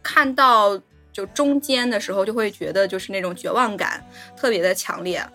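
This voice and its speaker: Mid-pitched woman, casual, over music. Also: moderate pace, pushed and bright. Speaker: podcast co-host. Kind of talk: casual film discussion and plot summary.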